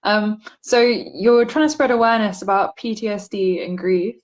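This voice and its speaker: A woman's voice: medium pitch, moderate pace, low-quality recording. A woman hosting an interview, introducing her guest and asking questions in a friendly way with frequent ums. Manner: friendly, uses filler um often.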